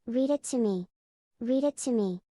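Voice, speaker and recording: A woman acts out an eager little girl in an audio drama. The voice is high and soft-toned with a childlike manner, recorded dry and close. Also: normal speed, no room sound, natural voice. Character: eager little girl, Susan's young daughter. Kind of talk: fan audio drama acting.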